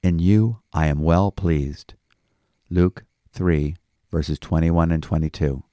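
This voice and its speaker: A man with a low, relaxed voice delivers devotional Bible commentary as a pastor. His manner is measured and expository, and the sound is close and dry, recorded in a small studio. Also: no echo. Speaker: pastor and host.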